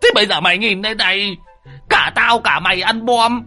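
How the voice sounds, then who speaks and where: A man voicing a panicky, cursing old male village shaman in a shrill, screeching, whiny voice. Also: brisk, soft background music. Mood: scolding. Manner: frantic yelling and scolding.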